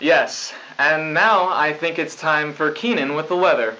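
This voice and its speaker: A young man playing an earnest, self-important high school student president, reading stiff, formal mock news at a desk. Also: unhurried, sharp tone. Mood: stiff, official. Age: young adult. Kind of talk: scripted mock 1990s school news broadcast. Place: small studio news desk. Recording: dull lo-fi 1990s videotape.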